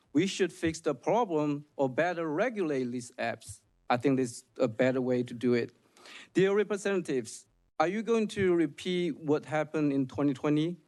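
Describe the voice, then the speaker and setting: A man whose first language is Chinese gives earnest, formal, slightly halting prepared testimony at a public hearing. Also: fairly high pitch, a little mellow. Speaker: public witness.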